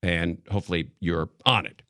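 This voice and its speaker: An American man hosting live radio talk, commenting casually on the news in a rambling, halting way, as if thinking aloud. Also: low-pitched, steady tone, sharp tone, no echo, studio quality. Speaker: radio talk show host. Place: radio studio. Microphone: close broadcast studio mic.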